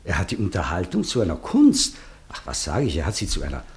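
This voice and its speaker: An actor playing a gushing, fad-loving aristocratic host in a German radio play, effusive and grandiose with small hesitations. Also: male voice, low-pitched, huge pitch swings, fast talker, full character voice. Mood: awed, gushing.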